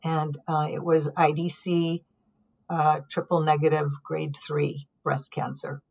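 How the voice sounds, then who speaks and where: Low-voiced woman, calm and reflective. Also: slightly rough, low-quality recording. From Lebanon. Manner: calm, reflective, with frequent ums and pauses. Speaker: guest. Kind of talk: conversational storytelling.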